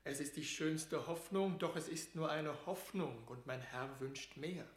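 An actor playing a courtly, deferential envoy, speaking ceremoniously in classical radio-drama verse. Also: male voice, fairly high pitch, a little slow, echoey room, lightly acted. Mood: courtly, hopeful. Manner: formal, ceremonious.

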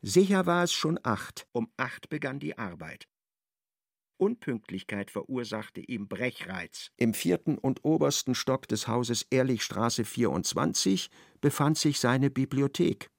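Male radio-play narrator, measured and ironic, gravelly voice, dry studio sound. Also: medium pitch, lively intonation, dry recording.

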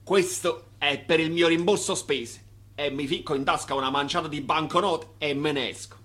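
An actor playing a brash, cocky sidekick to a crooked boss in an Italian radio play, chatty and swaggering. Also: male voice, fairly high pitch, normal speed, fairly bright tone, close to an everyday voice. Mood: cocky, jaunty.

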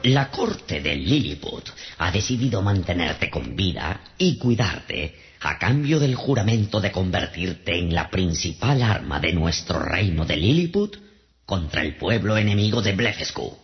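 Slow, pompous storybook emperor. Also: male voice, deep voice, very animated, full character voice. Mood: solemn, pompous. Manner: pompous, ceremonious, hesitant when he loses his thread. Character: pompous, forgetful tiny emperor of a storybook kingdom. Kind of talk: Spanish-language radio drama acting. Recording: dull sound with faint music behind.

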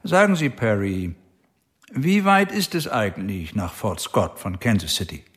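A man's voice: on the low side, very animated, unhurried. An actor playing a skeptical male detective who questions a suspect with a probing, doubting manner. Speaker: police detective.

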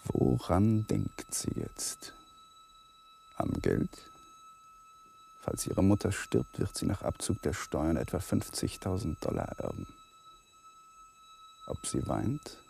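An actor playing a weary, unhappily married young lawyer in a radio play, terse and brooding in dialogue and inner monologue. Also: male voice, extremely high pitch, wildly swooping pitch, takes it slowly, long pauses, mellow, slight background noise, heavily acted. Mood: pensive, hushed. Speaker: actor in the leading role.

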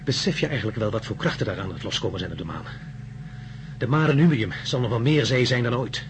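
An actor playing an irritable, commanding space mission leader in a radio drama, brusque and impatient. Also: male voice, medium pitch, animated, brisk, background noise, close to an everyday voice. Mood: grim, urgent. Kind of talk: science-fiction radio drama acting.

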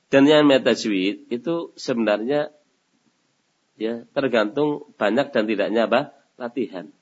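A man's voice: mid-range voice, slightly rough. A man teaching a religious study session, answering a question and posing rhetorical questions for his listeners to complete. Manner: asks rhetorical questions and lets the audience finish them. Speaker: Islamic teacher. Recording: dull lo-fi lecture recording.

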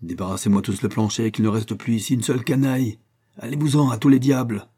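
A man's voice: fast talker, dark tone, slightly rough. A man voicing a drunken, rowdy rich man who is insolent, mocking and overbearing in a storybook reading. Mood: furious, insolent. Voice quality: big muffled bass in the story, boisterous. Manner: insolent, bullying, familiar.

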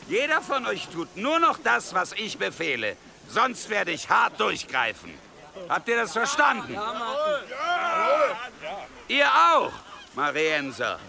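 Menacing, gravelly high-voiced commander. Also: male voice, full character voice. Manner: taunting, imperious, theatrical. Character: arrogant, menacing male commander demanding obedience. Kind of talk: German radio drama acting.

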